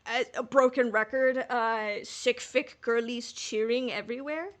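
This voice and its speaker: Higher-voiced, candid woman chatting. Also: fairly even intonation, unhurried, fairly bright tone. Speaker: podcast host. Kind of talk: casual fan discussion.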